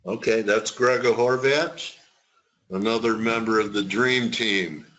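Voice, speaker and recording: A man's voice: lowish voice, unhurried, a bit bright. A man hosting a casual market webinar, chatting in a complimentary, easygoing conversational way. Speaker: webinar host. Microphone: webinar call. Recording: muffled, band-limited webinar audio.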